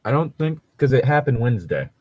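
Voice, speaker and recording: A man's voice: medium pitch, big pitch swings, fairly quick, a little mellow, dry recording. A man chatting casually as a co-host, rambling through commentary and swearing freely.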